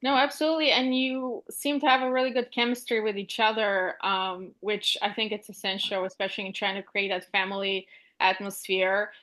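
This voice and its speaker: A woman's voice: medium pitch, flat delivery, a little fast, sharp tone, low-quality recording. Brief: woman from Bulgaria, interviewer, friendly, casual fillers. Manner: friendly, lots of "like" and "you know".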